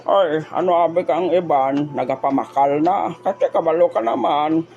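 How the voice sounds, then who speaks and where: A woman's voice: low-pitched, normal speed, gravelly, darkish tone, highs cut off. A woman in a comedic audio drama playing a chatty, gullible old grandmother who loves gossip. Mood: gossipy, chatty. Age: older.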